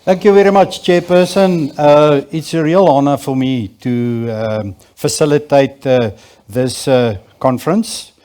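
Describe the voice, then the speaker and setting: A man's voice: mid-range voice, unhurried, mellow. A man from South Africa facilitating a conference, giving formal opening remarks in an auditorium with hesitant delivery and many filler sounds.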